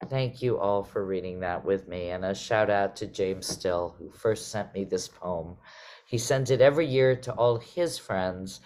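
A middle-aged woman hosting a casual online conversation, speaking reflectively and haltingly over a video call. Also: rumbling low, a little mellow. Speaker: host, artistic director.

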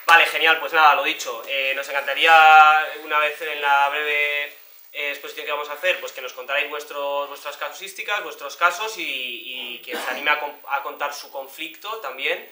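Young male activist, very bright, forceful voice, speaking informally from a little off the mic. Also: on the high side, fairly even intonation, moderate pace. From Cantabria, Spain. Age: young adult. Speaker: tenants' union activist, speaker. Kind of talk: informal public presentation. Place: indoor social-centre room before an audience. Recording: echoey room, distant microphone.

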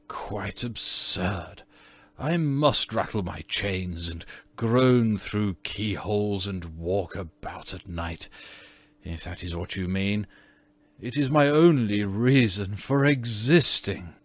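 A man's voice: on the low side, takes it slowly, no echo. Male reader as old aristocratic English ghost, pompous, indignant, petulant, theatrical storybook delivery. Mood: haughty, astonished. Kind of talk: storybook character reading.

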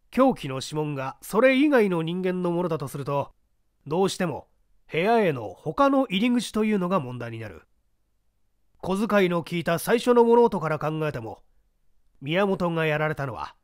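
A man reading a mystery aloud, playing a seasoned older investigator who explains the case in a measured, testing way. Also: sits high, dry recording, clean studio sound.

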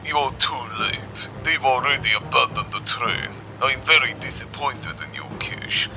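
An actor playing a stern, pompous commodore with a cutting, commanding manner in a science fiction audio drama. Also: male voice, medium pitch, unhurried, over music, close to an everyday voice. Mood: scornful. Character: stern, scornful male space military commodore.

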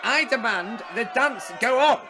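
An actor playing a pompous, blustering man posing as a tsar, imperious and excitable, in a dubbed film comedy. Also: very high voice, measured pace, raised voice, crowd noise, noisy background. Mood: indignant, bossy.

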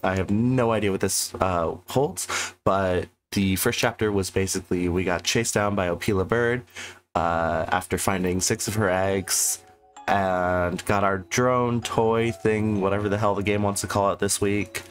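Male let's-player, hoarse and losing his voice, sarcastic and rambling, low voice with faint music under it. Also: steady tone, unhurried. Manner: rambling and sarcastic, reads game text aloud. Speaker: gameplay commentator. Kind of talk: casual let's-play commentary.

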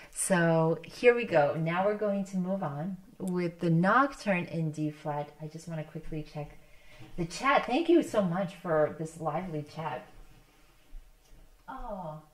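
A middle-aged woman whose first language is Polish, introducing music as a concert host in a gentle, reflective, conversational way with halting phrases. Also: lowish voice. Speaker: pianist hosting a livestream concert. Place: indoor candlelit room, seated at a piano.

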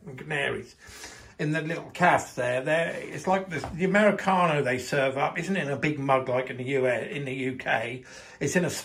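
A man's voice: a little high, creaky, a bit bright. An older man in a home kitchen, chatting casually through a how-to with lots of fillers and restarts, filmed on a handheld phone. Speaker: home video maker.

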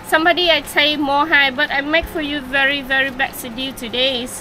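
A woman's voice: high voice, level tone, a little fast, bright, forceful tone. A woman in her twenties with a Vietnamese accent, selling casually in broken English at a market stall. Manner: broken, non-native English. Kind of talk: casual sales chat. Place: busy covered market stall. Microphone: vlog camera at conversational distance. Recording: noisy market background.

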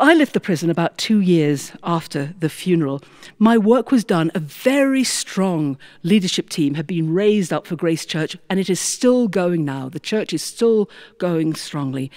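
A middle-aged British woman telling a story live, with dramatic, deliberate delivery. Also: fairly low pitch, a little mellow. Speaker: storyteller, former prison chaplain. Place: recording studio with small audience.

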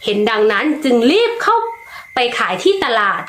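A woman reading a children's story aloud, slow and lilting, putting expression into each line. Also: on the high side, big pitch swings, a bit bright, reverberant, slight background noise.

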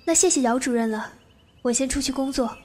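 A woman's voice: high-pitched, a little fast, a little mellow, soft background music. An actress playing a sweet, confident young female doctor in an audio drama. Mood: polite, assured.

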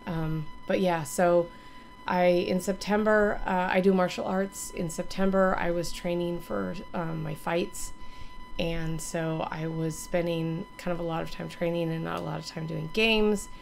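A hoarse woman in her thirties, still recovering from illness, gives a rambling, casual channel update to a webcam from her home gaming room. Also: fairly low pitch, fairly even intonation, unhurried, slight background noise. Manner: rambling, many ums and restarts. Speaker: gaming streamer and YouTuber.

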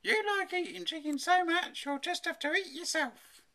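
A shrill, melodramatic mother from a comic children's story, as put on by the storyteller. The voice is pitched far up and pushed bright and forceful. She is bossy and exclaims everything with exaggerated drama. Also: moderate pace. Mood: matter-of-fact scolding. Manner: exclaiming, over-dramatic, stammering in shock.

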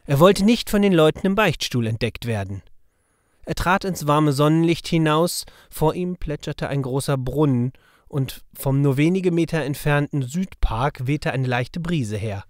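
A man's voice: on the high side, lively intonation, measured pace, no echo, studio quality. A man narrating a children's audio drama in a scripted storytelling style.

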